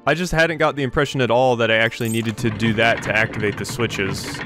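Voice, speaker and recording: Male gamer, mid-pitched, casual commentary, thinking aloud, game music behind. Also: steady tone, quick pace. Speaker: video game streamer. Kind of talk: casual let's play commentary. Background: video game music and effects.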